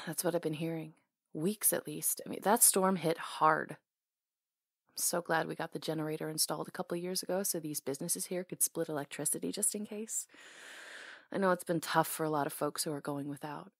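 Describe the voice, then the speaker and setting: A woman's voice: sits low, fairly even intonation, a little fast, gravelly, husky, dry recording. Playful woman in close, dry ASMR roleplay. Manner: playful, teasing, caring. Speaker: ASMR roleplay performer playing a hair stylist. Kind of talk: intimate ASMR roleplay, casual conversational acting.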